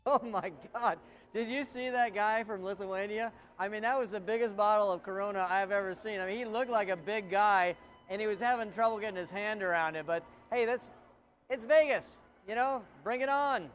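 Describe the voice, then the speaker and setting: A man's voice: high voice, moderate pace, fairly bright tone. A middle-aged man hosting a casual web talk show, chatty and loose with frequent restarts. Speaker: show host.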